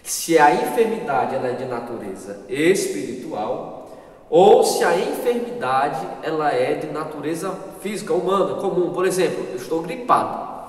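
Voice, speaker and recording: A Brazilian man teaching a Sunday school Bible lesson in church, earnest, often repeating himself and asking the listeners questions. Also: a little high. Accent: Brazilian Portuguese. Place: church hall. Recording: echoey hall, distant mic, faint ambience.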